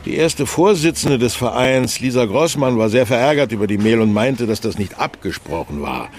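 Actor as brusque, demanding newsroom boss. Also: male voice, medium pitch, expressive, normal speed, a little hiss or hum, close to an everyday voice. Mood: briefing. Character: brusque, impatient male newsroom chief, demanding and businesslike. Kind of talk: naturalistic radio drama acting.

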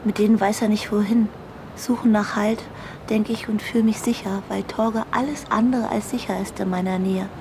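A woman's voice: medium pitch, fairly even intonation, normal speed, noisy background, lightly acted. An actress in a radio drama playing an insecure, lonely woman who confides her thoughts. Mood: confiding, pensive. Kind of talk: radio drama, intimate inner monologue and dialogue.

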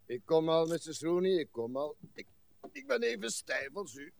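An actor playing a courteous, stiff older gentleman in a radio play, formal and dryly resigned. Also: a little high, sing-song, moderate pace, gravelly, no echo. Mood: harried. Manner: formal, polite, dry.

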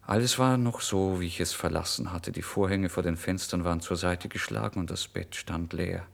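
A man's voice: low-pitched, steady tone, normal speed, creaky. An actor playing a penniless, emotional writer who recounts his misery in a theatrical, intense German radio drama reading. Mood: brooding, tense. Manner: theatrical, intense, swinging between hushed and exclamatory. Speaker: first-person narrator.

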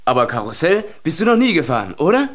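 An actor playing a teasing, boisterous and easily alarmed farmhand in a children's radio play. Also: male voice, fairly high pitch, sing-song, quick pace, dry recording. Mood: teasing, breezy.

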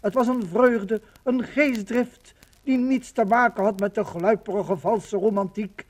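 High-pitched man, rhetorical radio witness. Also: fairly even intonation, measured pace. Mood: fervent, solemn. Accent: Dutch. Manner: theatrical, rhetorical. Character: exultant, indignant male witness of liberation. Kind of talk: 1950s radio docudrama declamation. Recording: noisy vintage 1950 radio recording.

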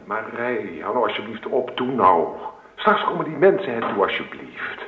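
Eager young husband, tinny radio sound. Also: male voice, lowish voice, sing-song, moderate pace. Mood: stubborn, annoyed. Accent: Netherlands Dutch. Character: eager young husband, stubborn, dreamy, tender. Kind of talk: radio play acting. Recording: roomy, tinny phone-like radio recording.

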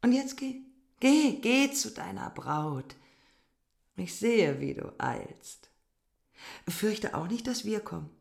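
Slow, gravelly, proud young woman. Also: medium pitch, animated, a little mellow. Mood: cold, dismissive. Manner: curt, dismissive. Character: proud, cold young village woman dismissing a visitor. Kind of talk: German radio play acting.

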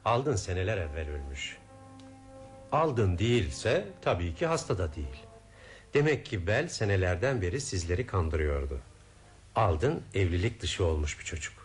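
A composed radio-drama detective with a low voice that moves widely in pitch. He sounds methodical and probing, laying out each point like a careful investigator. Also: sing-song, moderate pace, soft background music. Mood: explaining gravely. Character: composed, methodical male detective explaining a murder case. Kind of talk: Turkish radio theatre acting.

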